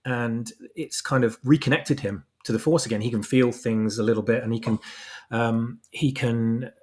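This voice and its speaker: A man's voice: mid-range voice, steady tone, normal speed. A man in a casual discussion, explaining things at length as a guest author, often repeating words as he goes. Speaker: fan fiction author and podcast guest. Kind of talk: casual discussion of story lore.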